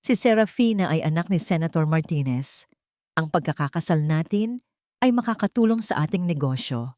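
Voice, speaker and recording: A woman's voice: deep voice, animated, moderate pace, warm tone, no echo, clean studio sound. A woman voicing a worried, status-minded older father in a family drama, anxious and questioning.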